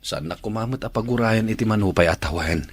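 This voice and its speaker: A man's voice: lowish voice, fast talker, no room sound, natural voice. A man with an Ilocano accent acting a patient, devoted bachelor uncle of forty in a radio drama. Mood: earnest, pleading.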